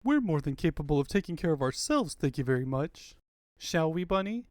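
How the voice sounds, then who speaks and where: A man's voice: fairly high pitch, very animated, fairly quick, a little mellow. A man voicing a confident, prim young animal princess in a cartoon-style comic dub. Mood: indignant. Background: background music.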